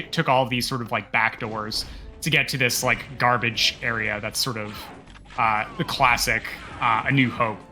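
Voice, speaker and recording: A man's voice: mid-range voice, pushed and bright. A man giving casual, explanatory live commentary on a video game speedrun.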